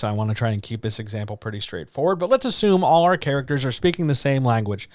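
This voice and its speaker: A man with a deeper voice coaching writers during a live stream. He talks loosely and casually, leaning on so, like and um as he explains. His voice sits at an ordinary pitch and sounds dry and close to the mic. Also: dry recording. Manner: loose, conversational, full of fillers like so, like and um. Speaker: writing coach.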